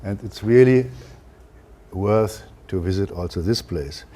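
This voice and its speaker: Male lecturer, German accent, lowish mellow voice, measured and halting, academic delivery. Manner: careful, halting delivery with frequent uh fillers. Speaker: university lecturer.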